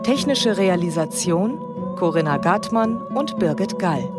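A woman's voice: lowish voice, animated, measured pace. A woman reading titles and credits as a scripted radio announcement. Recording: loud plucked guitar music behind. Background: guitar music.

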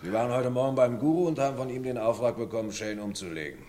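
An actor playing a corrupt, cynical police chief in a noir radio crime drama, curt, smug and used to giving orders. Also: male voice, medium pitch, quick pace, mellow, lightly acted. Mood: smug, interrogating.

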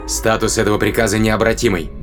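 A man's voice: on the low side, steady tone, fast, over music, natural voice. Terse, commanding spaceship captain, played by a man. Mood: urgent, commanding. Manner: commanding, terse, coarse-tongued. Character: defiant, quick-thinking male spaceship captain. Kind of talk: science fiction audio drama acting.

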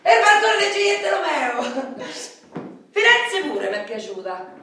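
An actress playing a rough, candid, wounded ex-convict street woman with a Roman accent, in a colloquial, rambling radio theatre monologue. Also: very high-pitched, big pitch swings, unhurried, sharp tone, a little hiss or hum, exaggerated voice. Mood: lively, nostalgic. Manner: colloquial, rambling, confiding, with outbursts. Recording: very echoey room, distant microphone.

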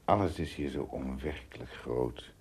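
A man's voice: a bass voice, moderate pace, darkish tone, breathy edge. A man playing a polite, earnest English castaway in a radio drama, hesitant and stammering as he explains himself. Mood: downcast.

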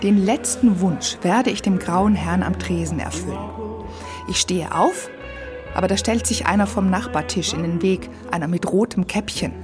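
A woman's voice: fairly low pitch, normal speed, over music. A woman narrating a radio feature in the first person as a fairy, in a wry, musing way. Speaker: narrator playing a wish-granting fairy.

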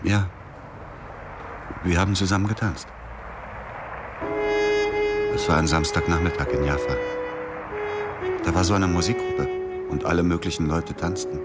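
An actor playing a weary, grieving middle-aged former soldier in a radio drama, speaking in a subdued, understated way. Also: male voice, sits low, natural voice. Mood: subdued grief. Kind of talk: radio drama dialogue and first-person narration. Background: music under the voice.

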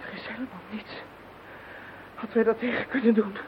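A woman's voice: fairly high pitch, steady tone, moderate pace, natural voice. A woman acting a frightened, bitter, overwrought heroine in a radio drama. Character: frightened, bitter woman under constant mental surveillance. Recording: dull, faintly noisy radio recording.

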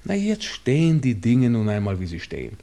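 Jovial viennese young man about town, very animated, kinda bossy and mock-formal, radio play. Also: mid-range voice, normal speed. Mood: brisk, sensible. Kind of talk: German-language radio drama acting.

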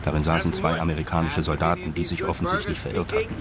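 A man's voice: extremely low pitch, little pitch movement, fast talker, a crowd in the background. A man reading scripted narration for a radio crime drama.

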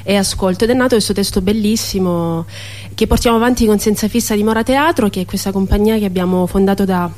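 A woman speaking as a theatre guest in a spontaneous radio interview, earnest and hesitant, with reflective pauses. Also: medium pitch, darkish tone.

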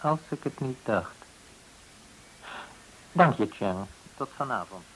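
A man's voice: mid-range voice, animated, slow, slight background noise, poor audio. An actor in a radio play playing a cool, probing male boss of shady dealings, curt and controlled. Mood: cool, commanding.

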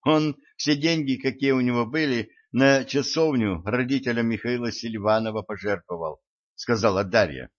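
A man narrates an audiobook in a steady middle-pitched voice, shading the dialogue a little for each character. The recording sounds dull and lo-fi. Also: moderate pace.

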